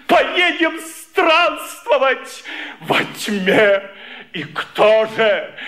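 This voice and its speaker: An actor playing a gallant, ironic toastmaster in a theatrical radio vaudeville, declaiming with playful pomposity. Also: male voice, extremely high pitch, bright, forceful tone, exaggerated voice. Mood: rousing, playful. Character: flamboyant male toastmaster, gallant and ironic flatterer of women.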